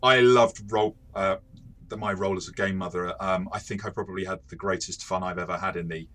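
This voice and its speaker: A man with a fairly low voice and a bright, forceful edge hosts a relaxed review chat. He speaks in a halting way, circling back over words and often chuckling as he talks. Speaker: host, game master reviewing tabletop RPGs. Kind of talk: casual review discussion.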